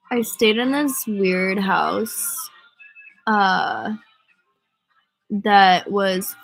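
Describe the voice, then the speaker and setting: A woman's voice: medium pitch, poor audio. A young American woman with a stuffy cold, chatting casually with fans, rambling through stories full of "like" and "um". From United States. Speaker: singer answering fan comments. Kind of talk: casual chat on a livestream.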